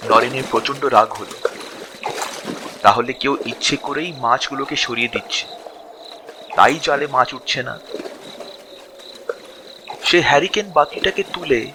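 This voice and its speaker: A man narrating a scripted ghost story dramatically, with suspense and drawn-out pauses between short phrases. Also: fairly high pitch, noisy background.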